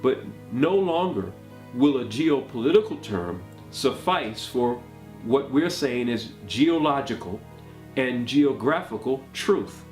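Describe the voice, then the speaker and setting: A man's voice: mid-range voice, expressive, slow, darkish tone. Casual: guy lecturing hard, asks rhetorical questions, roomy echo. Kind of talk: lecturing monologue. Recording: echoey room, faint music.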